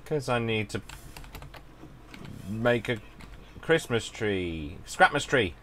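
A man's voice: on the low side, expressive, takes it slowly, fairly bright tone. A middle-aged man, a father, chatting cheerfully with his son while they play a game, taking his own slip-ups with dry humor. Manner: cheerful, dryly self-mocking, easily surprised. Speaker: father and co-host playing a game. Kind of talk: casual gaming chat.

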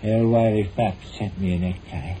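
An American actor playing a stubborn, middle-aged husband with a dry, mock-grandiose manner in a 1940s radio comedy. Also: male voice, sits low, steady tone, normal speed, mellow, natural voice. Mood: stubborn, weary. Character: droll, stubborn, evasive husband in a domestic comedy. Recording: noisy old 1940s radio recording.

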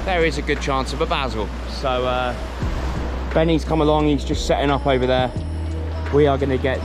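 A man talking casually and enthusiastically in slang as a fishing vlogger, recorded outdoors on a rainy seashore with waves behind him. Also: a little high. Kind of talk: casual vlog commentary to camera. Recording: loud surf, wind and rain noise. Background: waves and surf.

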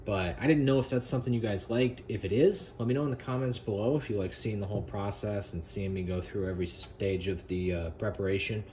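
Young guy, really low voice, chatting loosely while cooking at home, noisy kitchen audio. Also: a little fast, hardly any pauses. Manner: relaxed, rambling, many fillers. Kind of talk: casual cooking commentary.

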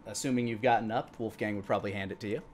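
A man narrating a tabletop roleplaying game as game master, improvising descriptions in a halting, detailed way. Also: on the low side, steady tone. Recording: clearly audible background noise.

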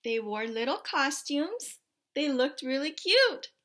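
Slow, high-voiced woman reading to children. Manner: expressive, exclaiming, asking children questions. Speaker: teacher reading a picture book aloud. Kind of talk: children's read-aloud with commentary and questions. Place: small indoor room with bulletin board.

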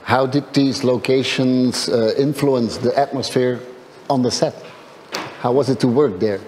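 A man's voice: medium pitch, steady tone, unhurried, a little mellow. A middle-aged man hosting a festival interview, asking playful, complimentary questions. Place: festival press area, large hall. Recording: hall reverb, faint background noise.

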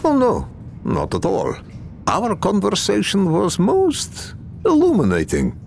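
Animated scholarly priest. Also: male voice, sits high, takes it slowly, gravelly, a little hiss or hum, heavily acted. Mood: earnest, explanatory. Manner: earnest, drops articles in broken English. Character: earnest scholarly male priest, easily bewildered. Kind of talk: video game cutscene acting.

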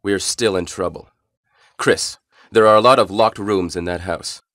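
An authoritative male squad commander from an old video game. His voice is cool and fairly low, with stilted delivery and no room echo. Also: normal speed, fairly bright tone, studio quality. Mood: grave, commanding.

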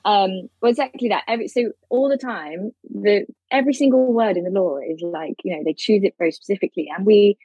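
Husky woman explaining law. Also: medium pitch, fairly quick, hardly any pauses, dark tone, dry recording, muffled recording. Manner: articulate, explains carefully with fillers. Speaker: lawyer guest. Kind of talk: podcast interview.

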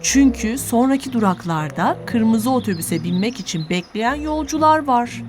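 High-voiced woman narrating for children. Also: lively intonation, normal speed. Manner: didactic, encouraging, clearly articulated. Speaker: narrator of a children's educational cartoon. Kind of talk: scripted narration addressed to children. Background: music.

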